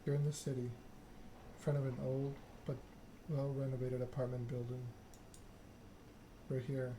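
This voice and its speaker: A man softly narrating a story game in ASMR style, reading in a measured, deliberate way. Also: medium pitch, flat delivery. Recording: clearly noisy background ambience.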